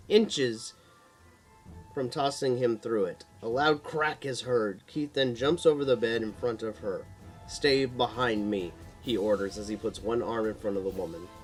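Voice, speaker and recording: A man narrating a supernatural horror story in a dramatic read-aloud style, sometimes stumbling over the text. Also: mid-range voice.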